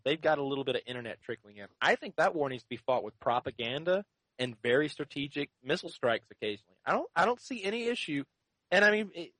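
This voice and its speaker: A man's voice: a little high, a bit bright, no echo, poor audio. Assertive man arguing over a dry mic. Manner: assertive, argumentative. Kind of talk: casual political debate.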